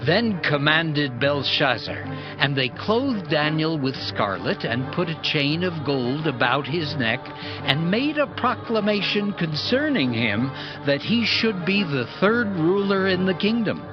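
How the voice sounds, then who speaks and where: A man narrating scripture from a script in a solemn, deliberate way. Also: on the high side, big pitch swings. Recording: dull lo-fi audio with music.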